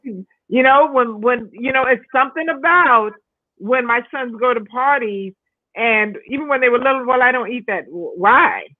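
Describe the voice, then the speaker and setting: A middle-aged woman co-hosting a casual chat over a video call, talking in a lively way with many fillers. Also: fairly high pitch, normal speed, no echo. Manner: chatty, animated, many fillers. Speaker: co-host. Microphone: video call audio. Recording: dry tinny phone-like call audio.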